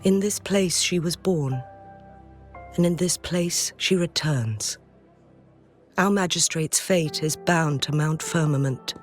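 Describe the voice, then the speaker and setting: An actress voicing a dignified, solemn noblewoman official in a fantasy video game, with grave, deliberate, formal delivery over music. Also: sits low. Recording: loud music under the voice.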